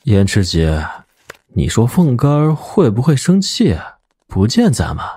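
A restless, blunt young warrior, animated. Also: male voice, medium pitch, measured pace, husky. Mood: uneasy, rueful. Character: blunt, restless young warrior uneasy about an old friend. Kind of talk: audio drama acting.

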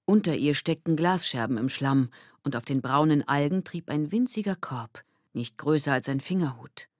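A woman's voice: low voice, expressive, normal speed, dry recording, studio quality. A woman reading a children's novel aloud as scripted audiobook narration.

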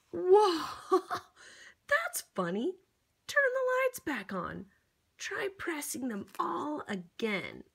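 Female children's storybook reader, high voice, very slow delivery, theatrical and encouraging, talks straight to the child. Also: wildly swooping pitch, vocal fry, a bit bright. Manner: theatrical, with exaggerated gasps and exclamations; encouraging, coaxing, addressing the child listener. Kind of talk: interactive picture-book read-aloud for young children.

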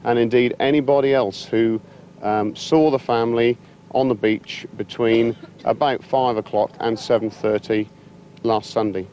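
A man's voice: fairly even intonation, unhurried, dark tone. A middle-aged man giving a police statement to press microphones outdoors. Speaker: police spokesman. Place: outdoors by parked vehicles. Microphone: several handheld press microphones. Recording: dull outdoor sound, faint rumble.

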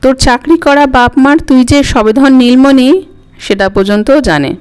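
A woman's voice: high-pitched, measured pace, a little mellow, clean tone. A woman voicing an elderly grandmother who is coy, wistful and fondly scolding in a dramatic story reading. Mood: reminiscing. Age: older. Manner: coy, reminiscing, mildly snappish. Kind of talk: dramatic audiobook character reading. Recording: music under the voice.

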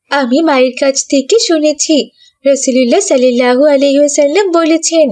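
Cartoon casting: a well-off little girl, pitched high, performed by a woman. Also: darkish tone, natural voice. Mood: remorseful. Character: haughty rich young girl, later worried and sorry. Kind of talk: Islamic cartoon voice acting.

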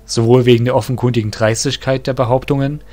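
A man narrating a fantasy audio drama in a measured storytelling way. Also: medium pitch, steady tone, darkish tone.

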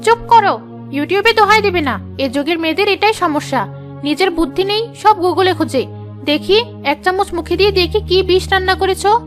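Woman as bossy, very high-voiced auntie. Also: lively intonation, normal speed, soft background music. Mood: scolding. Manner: scolding, emphatic. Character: bossy, excitable, fault-finding middle-aged aunt. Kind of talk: cartoon story voice acting.

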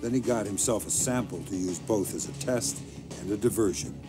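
A weary, hard-bitten covert operative from a video game , acted by a man. His voice sits low and he reports in terse, sardonic, matter-of-fact sentences. Loud music plays underneath. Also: fairly quick, natural voice. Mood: terse, dry. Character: middle-aged male covert agent, weary, hard and sardonic. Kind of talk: video game cutscene acting, terse recounting. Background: loud dramatic music.

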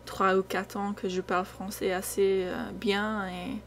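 A woman's voice: medium pitch, flat delivery, unhurried, a bit bright. A young American woman, a native English speaker with an American accent, chatting hesitantly and casually over gameplay, her voice often trailing off. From United States. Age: young adult. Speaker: gaming video creator. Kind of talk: casual off-the-cuff gameplay commentary. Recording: noisy home recording, game sound behind. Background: video game sounds.

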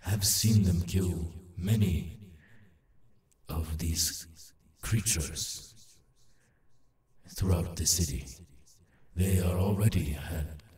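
A man voicing a halting, urgent demon in an ASMR roleplay, repeating himself in broken phrases and insisting he is harmless. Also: low voice, a little mellow, echoey room, far from the mic. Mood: grave, urgent.

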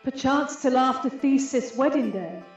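A woman's voice: high voice, lively intonation. A woman playing a proud, aggrieved fairy queen in a Shakespearean radio play, speaking stately, declamatory verse. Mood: cold, defiant. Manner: stately, measured, declamatory delivery. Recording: heavy reverberant echo, muffled, music behind. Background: music.

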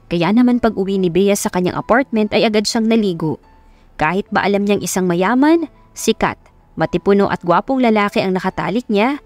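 Female Filipino narrator for a romance audiobook; dry, close sound over soft music. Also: mid-range voice, no echo. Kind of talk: scripted romance story narration.

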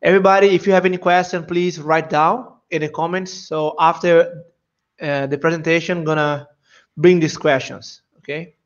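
Brazilian-born host on a dull headset call. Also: male voice, on the high side, fairly even intonation, normal speed. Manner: informal, chatty, loose phrasing. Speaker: meetup host. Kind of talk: casual hosting chat. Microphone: headset on video call.

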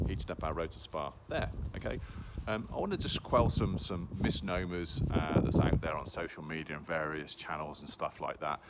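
A man's voice: deep voice, noisy background. A man, a hot tub dealer chatting casually to a phone held at arm's length outdoors, full of ums and okays. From England. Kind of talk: casual selfie sales vlog. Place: outdoors at a showroom yard in snow.

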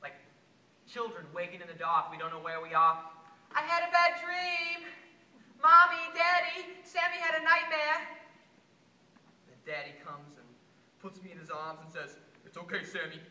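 An actor playing an unhinged, bitter working-class American would-be assassin in a theatrical stage monologue, veering erratically between shouted rage and hushed pleading. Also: male voice, high voice, lively intonation, normal speed, pauses often, heavily acted. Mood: fearful, then childlike, bitter. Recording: distant, echoey amateur stage recording, faint noise.

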